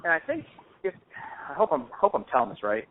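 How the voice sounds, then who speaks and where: A man's voice: fairly high pitch, wildly swooping pitch, fast talker, a little hiss or hum. A man telling stories casually on a phone call in an informal, slangy, rambling way, as host of a hobby show. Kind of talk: casual phone chat, anecdotal storytelling. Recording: dull lo-fi phone-call audio.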